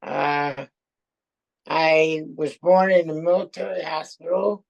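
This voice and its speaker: Slow, gravelly older New man. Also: a little high, fairly even intonation, low-quality recording. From born in Austria, raised in Brooklyn and France. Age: 70s. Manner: halting, hesitant, many pauses and fillers. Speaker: interview guest, Air Force veteran. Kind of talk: casual chat.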